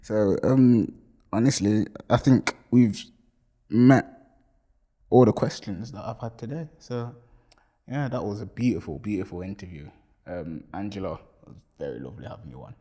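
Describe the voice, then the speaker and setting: A man's voice: fairly low pitch, lively intonation, moderate pace, warm tone. A man hosting a casual interview, laid-back, playful and upbeat. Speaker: interviewer host.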